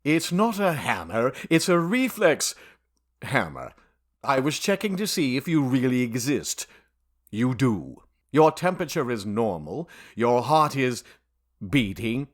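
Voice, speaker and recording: A man's voice: medium pitch, sing-song, a little slow. A man playing an officious, dismissive doctor in a comedic audio drama, brusque and literal-minded. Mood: dismissive, deadpan.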